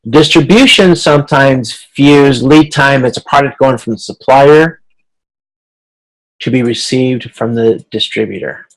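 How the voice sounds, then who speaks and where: A man's voice: mid-range voice, a little slow, rough recording. A man with a mid-range voice teaches as an instructor in an informal online lecture. He explains step by step, often restarting phrases and repeating words as he goes. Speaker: college instructor. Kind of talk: video conference lecture explaining slides, informal.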